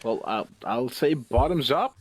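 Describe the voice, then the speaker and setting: A man roleplaying in a tabletop game, speaking in a halting, polite and slightly formal way. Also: mid-range voice, animated, breathy edge, no echo. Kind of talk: in-character roleplay talk.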